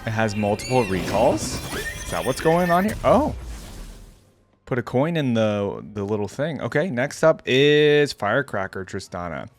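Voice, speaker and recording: A man's voice: mid-range voice, big pitch swings, unhurried. A man giving casual, enthusiastic reaction commentary on game skins, thinking aloud as he goes, with game music behind him. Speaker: reaction video host. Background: game music and effects.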